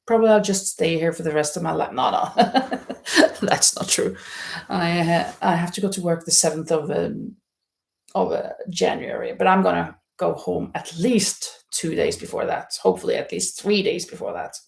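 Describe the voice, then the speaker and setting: A woman's voice: on the low side, expressive, normal speed, vocal fry. A woman from Norway with a Norwegian accent, hosting a casual livestream and chatting in a rambling, hesitant way as she reads out viewer comments. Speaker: livestream host. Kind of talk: casual chat while knitting, reading out viewer comments. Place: indoors at home in a holiday stay.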